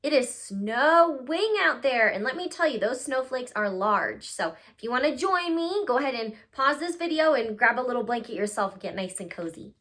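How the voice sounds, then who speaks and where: Very animated high-voiced woman reading to kids. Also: moderate pace, few pauses. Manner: expressive, cutesy, addressing young listeners directly. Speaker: read-aloud host, storyteller for children. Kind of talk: children's picture book read-aloud.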